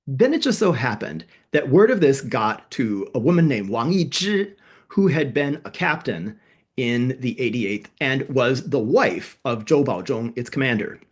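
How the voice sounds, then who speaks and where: A man's voice: medium pitch, breathy edge. A man co-hosting a history podcast, explaining events in a casual, thinking-aloud way with fillers and self-corrections. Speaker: podcast co-host.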